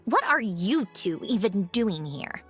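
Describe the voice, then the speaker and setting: Haughty, lively-pitched mechanical villainess. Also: female voice, unhurried, faint music behind. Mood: dismissive. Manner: haughty, crisp, dryly sardonic. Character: haughty doll-like mechanical young woman, sardonic villainous aristocrat. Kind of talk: video game voice acting.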